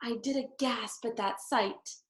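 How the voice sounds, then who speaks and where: A woman reading a children's story aloud in expressive narration, phrasing it emphatically for young listeners. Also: a little high, measured pace, reverberant.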